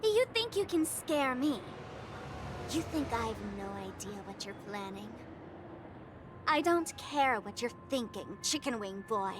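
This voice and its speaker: A woman voicing a mischievous, taunting young trickster in a video game, with theatrical, teasing, drawn-out delivery. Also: fairly high pitch, big pitch swings, background noise. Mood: taunting, playful. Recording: loud music behind the voice. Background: music.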